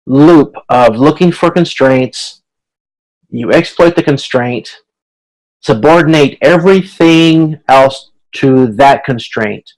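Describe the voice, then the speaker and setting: A man's voice: mid-range voice, low-quality recording. A man lecturing informally as an instructor on a video conference, explaining step by step with frequent restarts and repeated phrases.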